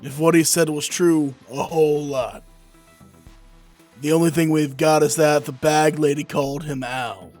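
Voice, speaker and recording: A man's voice: fairly high pitch, fairly even intonation, a little slow, a little mellow, natural voice. A man playing a blunt, streetwise, world-weary police detective in a comic book audio drama. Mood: weary, startled. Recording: music behind the voice.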